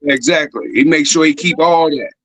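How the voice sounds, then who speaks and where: A man hosting a casual online chat, speaking in a rambling, halting way with many fillers. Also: on the high side, a bit bright. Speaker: host. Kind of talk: casual chat on a live stream.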